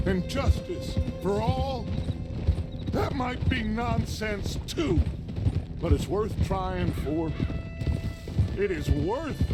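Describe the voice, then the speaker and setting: A grandiloquent, high-voiced outlaw leader. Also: male voice. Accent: American. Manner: grandiloquent, oratorical, theatrical. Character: charismatic, idealistic American outlaw gang leader, grandly persuasive. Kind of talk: western video game voice acting. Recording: loud music bed behind the voice. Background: loud game music, horses' hooves.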